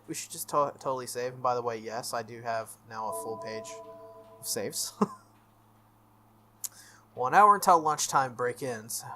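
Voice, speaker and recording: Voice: mid-range voice, slight background noise. A let's-play host reading a visual novel aloud and adding offhand, chatty commentary. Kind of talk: scripted reading with casual commentary. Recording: music under the voice. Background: soft game music.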